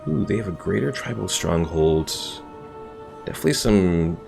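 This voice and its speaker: A man's voice: sits low, expressive, normal speed, gravelly, music in the background. A man casually chatting with viewers while streaming a strategy game, laid-back and rambling. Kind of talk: casual live stream commentary.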